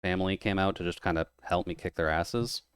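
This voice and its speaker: A man in casual chat while gaming, talking quietly with a low-energy, mumbled delivery over a voice call. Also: steady tone, brisk, clear, resonant tone, no room sound, clean studio sound. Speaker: streamer's guest playing a game.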